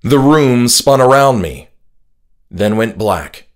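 A man reading a scripted first-person horror story as an audiobook narrator, in a measured, dramatic way. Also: mid-range voice, animated. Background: music.

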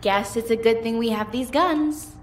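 A woman's voice: a little high, moderate pace, natural voice. A woman playing a reckless but self-doubting crew leader in an audio drama, speaking earnestly. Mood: determined. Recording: echoey room, music behind.

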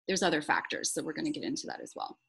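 A woman's voice: deep voice, wildly swooping pitch, racing, creaky, fairly bright tone, slightly rough. A woman hosting an online group workshop on a video call, speaking informally in an encouraging, affirming way. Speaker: workshop host.

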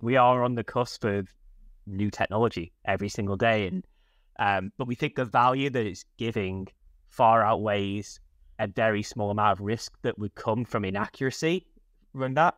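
A man's voice: on the low side, a bit bright, dry recording. A man in his thirties answering interview questions in an enthusiastic, explanatory, conversational way. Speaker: podcast guest, tech company product leader. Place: small furnished room at home. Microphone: video call, wearing headphones.

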